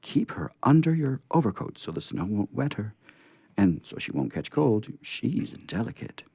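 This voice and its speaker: Man as storybook little girl, kind, chatty, earnest, pitched up. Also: big pitch swings, normal speed, creaky, warm tone, husky, no echo, heavily acted. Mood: eager, caring. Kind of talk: storybook character voice in read-aloud.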